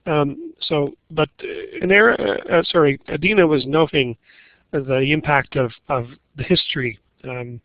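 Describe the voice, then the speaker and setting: A man's voice: fairly high pitch, measured pace, no room sound. A man moderating a panel over a web call, his speech full of fillers and self-corrections. The audio is dull and lo-fi, with no room sound at all. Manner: hesitant, many fillers and restarts. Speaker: webinar moderator. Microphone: computer mic on a web call.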